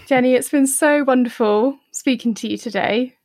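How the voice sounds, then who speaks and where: A woman's voice: high voice, normal speed, a little mellow. A woman hosting a podcast, speaking over music. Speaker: podcast host. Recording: music behind the voice.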